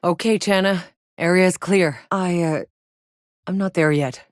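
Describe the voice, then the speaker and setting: A tough, wry female scout played by a woman with a low voice, recorded very dry and close. She delivers terse, matter-of-fact video game lines like a soldier sizing up hostile ground. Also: measured pace, no echo, close to an everyday voice. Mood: matter-of-fact, focused.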